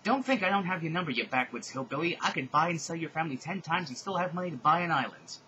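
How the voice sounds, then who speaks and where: A man's voice: on the high side, expressive, fast, sharp tone, highs cut off, slight background noise, pitched above the performer's own voice. A man voicing a bratty, spiteful, easily outraged teenage girl in a melodramatic, exclaiming way for a story reading.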